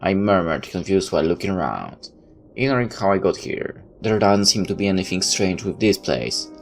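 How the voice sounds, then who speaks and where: A man with a low voice reads a first-person story aloud in the manner of a casual gamer. His narration is loose enough for side comments of his own, and soft music plays behind him. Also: very animated, normal speed, gravelly. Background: background music.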